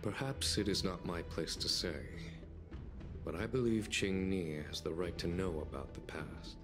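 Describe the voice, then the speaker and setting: Video game general, male actor: very low voice, composed and eloquent, formal phrasing, clear music underneath. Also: normal speed, lightly acted. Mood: grave, considerate. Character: calm, dignified male army general, gently wry. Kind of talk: dramatic video game dialogue.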